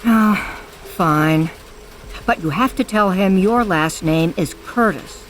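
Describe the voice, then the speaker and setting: Woman acting a guarded, slow-spoken stern woman. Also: fairly low pitch, slight background noise. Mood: grudging. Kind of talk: audio drama acting.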